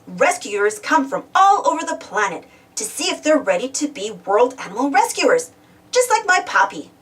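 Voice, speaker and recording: Animated high-pitched woman reading to children. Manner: animated, exclamatory, addresses young listeners with questions. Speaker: storybook reader. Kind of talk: expressive children's read-aloud. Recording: distant from the mic.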